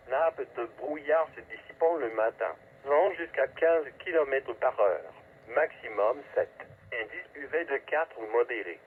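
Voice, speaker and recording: A man reading a scripted weather forecast as a radio announcer, in a clipped, segmented, automated delivery. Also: fairly high pitch. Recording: tinny phone-like weather radio, static noise.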